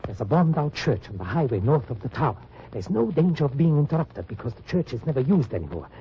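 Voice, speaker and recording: A man's voice: medium pitch, very animated, fast, slightly rough, heavily acted. An actor playing a middle-aged resistance man, who stammers and hesitates. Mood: eager. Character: middle-aged German resistance man, eager then grief-stricken. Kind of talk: 1950s radio drama acting. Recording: dull noisy old radio recording.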